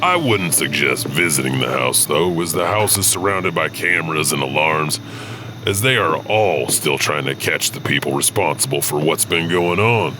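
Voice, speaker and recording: A man's voice: rumbling low, animated, normal speed, noisy background. A man hosting and narrating scripted ghost stories, with music behind him. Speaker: narrator and host.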